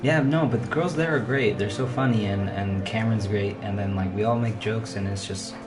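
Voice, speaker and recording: A man's voice: lowish voice, a little fast. A young man in his late teens chatting casually while streaming a VR game, rambling and saying "dude" a lot. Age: young adult. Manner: laid-back, rambling, lots of "dude" and "like". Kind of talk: casual chat while gaming. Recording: distant mic under loud game music.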